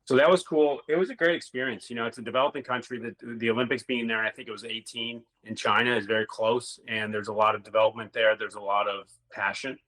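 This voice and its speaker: A man's voice: medium pitch, fairly even intonation, quick pace, hardly any pauses, a bit bright, rough recording. A middle-aged man, a former hockey player, telling rambling stories in a casual interview and swearing now and then.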